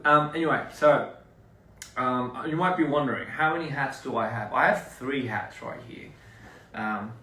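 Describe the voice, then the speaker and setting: A young man from Australia, chatting casually and rambling with fans on a live stream. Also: mid-range voice. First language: English. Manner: relaxed, rambling, laughing between lines. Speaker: livestreaming artist. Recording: echoey room, distant phone mic.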